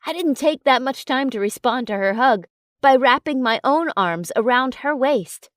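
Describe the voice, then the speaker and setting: A woman narrating an audiobook in a calm, even storytelling manner. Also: high-pitched, normal speed, no echo, clean studio sound. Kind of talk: audiobook narration of a romance novel.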